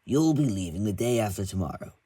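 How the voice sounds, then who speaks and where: A man's voice: fairly low pitch, animated, quick pace, mellow, no echo. A man voicing a formal, courteous male railway boss giving instructions.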